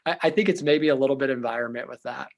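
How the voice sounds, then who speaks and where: A young man, a therapist, talking earnestly in an interview with a loud voice, thinking aloud with fillers and restarts. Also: mid-range voice, quick pace. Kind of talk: reflective casual interview talk.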